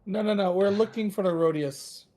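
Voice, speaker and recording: A man's voice: high-pitched, normal speed, dark tone. A man taking part in a tabletop roleplaying game, talking casually in character at the table.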